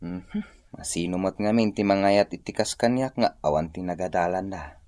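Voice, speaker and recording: An actor playing a tired, kindly village uncle in an Ilocano radio drama, sighing, with an Ilocano accent. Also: male voice, low-pitched, moderate pace, a little mellow, dry recording, close to an everyday voice. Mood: weary, wistful. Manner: sighing, weary. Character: weary, kindly, self-pitying village uncle.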